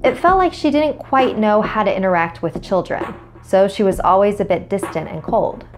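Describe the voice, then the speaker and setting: A woman's voice: medium pitch, lively intonation, normal speed, faint music behind. A woman hosting a scary story series, reading scripted horror narration.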